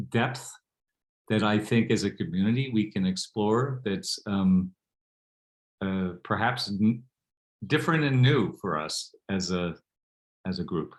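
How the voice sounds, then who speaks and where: Casting: older man, lowish register, reflective and halting, speaking on an online panel. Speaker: panel guest.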